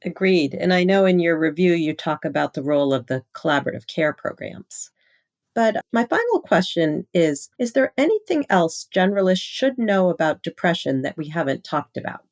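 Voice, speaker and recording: A woman's voice: on the low side, big pitch swings, moderate pace. A woman hosting a medical interview, asking questions in a polite, professional manner. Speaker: interviewer, physician editor.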